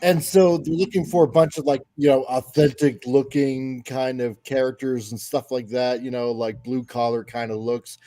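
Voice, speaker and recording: A man's voice: medium pitch, steady tone, normal speed, few pauses, warm tone. A man in a casual podcast chat who rambles hesitantly, with many fillers.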